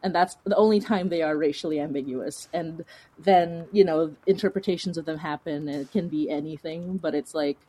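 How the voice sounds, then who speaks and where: A woman with a distinctly low-pitched voice speaks as a guest in a relaxed interview. She is chatty and informal, working out her thoughts aloud as she talks. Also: brisk, poor audio. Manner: chatty, informal, laughs while talking, thinks aloud. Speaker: interview guest, fiction podcast creator. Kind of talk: casual conversational interview.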